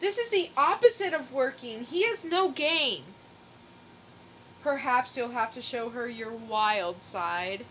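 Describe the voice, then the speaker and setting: Woman acting a slow, blunt, imperious prophetess. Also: fairly high pitch, expressive, fairly bright tone, a little hiss or hum. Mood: heckling, scornful. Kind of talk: amateur dramatic comic book reading.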